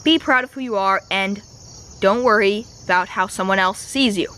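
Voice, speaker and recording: A young woman vlogger, a bit raspy and slightly sick with a stuffy nose, chatting casually with lots of fillers. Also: medium pitch, moderate pace, bright, forceful tone, a little hiss or hum. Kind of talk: casual vlog voiceover and to-camera talk. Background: music.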